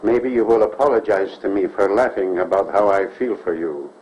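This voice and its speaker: A man's voice: lowish voice, normal speed, darkish tone, natural voice. An actor playing a jealous male admirer who makes veiled threats, in a 1930s film. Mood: brooding. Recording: muffled old film soundtrack with faint hiss.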